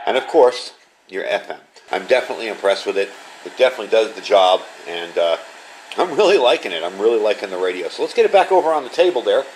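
A man with a fairly low voice walks through a piece of gear as a reviewer. He talks conversationally and with enthusiasm, in a casual hands-on style. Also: slight background noise, low-quality recording. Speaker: product reviewer. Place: indoor workshop room at a desk.

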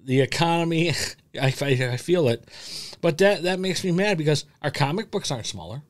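A middle-aged American man hosting a casual podcast in an animated, rambling way, close on a studio mic. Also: fairly high pitch, moderate pace. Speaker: podcast host. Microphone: close boom-arm podcast microphone with headset.

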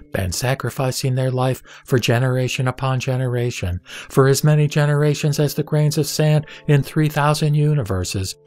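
A man playing a serene, authoritative spiritual teacher, measured and didactic, in a scripted sutra audio drama. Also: medium pitch, a little mellow, close to an everyday voice. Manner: solemn, measured, didactic delivery. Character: the Buddha, serene, authoritative male spiritual teacher instructing a disciple.

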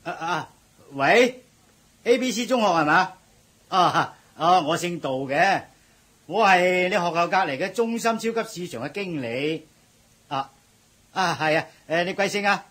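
A bossy, blustering shop manager. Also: male voice, sits high, expressive, measured pace, breathy edge, heavily acted. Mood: officious. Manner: loud, bossy, scolding. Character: blustering middle-aged supermarket manager. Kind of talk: 1980s radio drama acting.

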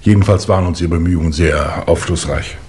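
A chief inspector, played by a man with a low voice, composed and authoritative. He speaks formally and pointedly, in vintage radio drama style. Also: fairly quick, a little mellow, close to an everyday voice. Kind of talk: 1950s German radio play acting.

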